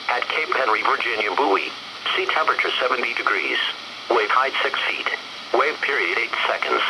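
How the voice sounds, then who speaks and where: Synthetic male weather announcer, very noisy radio. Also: mid-range voice. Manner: flat, measured synthesized reading in short phrases. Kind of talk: automated scripted weather bulletin.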